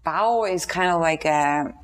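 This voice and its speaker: A woman's voice: low-pitched, unhurried. A woman from Austria with an Austrian accent and German as her native language, co-hosting a casual chat. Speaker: co-host.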